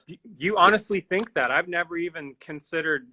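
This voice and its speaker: Bright-voiced dry-sounding male interviewer. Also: fairly high pitch, fairly quick, slightly rough, no room sound. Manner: friendly, rambling questions with many backchannels. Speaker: curator, interviewer. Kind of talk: conversational interview.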